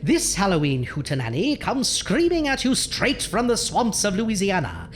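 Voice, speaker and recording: A woman's voice: mid-range voice, sing-song, moderate pace, a little hiss or hum, lightly acted. A woman playing a playful, wry, ghoulish horror hostess in a radio drama, theatrical and arch with chatty asides. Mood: campy welcoming. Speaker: host.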